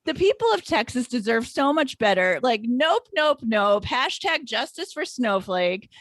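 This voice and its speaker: A woman's voice: a little high, very animated, a little slow, fairly bright tone, dry recording. A woman co-hosting a podcast in casual political banter, with an indignant, sarcastic manner. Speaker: podcast co-host.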